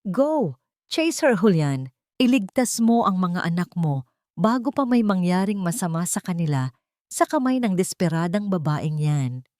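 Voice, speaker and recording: A woman's voice: fairly low pitch, sing-song, measured pace, darkish tone, no room sound, clean studio sound. A woman voicing an elderly, rich matriarch in an audiobook drama, furious and imperious as she accuses and gives orders. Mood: furious, commanding.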